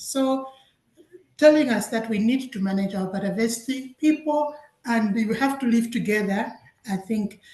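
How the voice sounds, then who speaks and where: Woman from Zambia telling her story, echoey. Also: a little high. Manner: warm storytelling with frequent laughs. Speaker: guest scientist presenting. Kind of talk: webinar presentation, personal story. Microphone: video call.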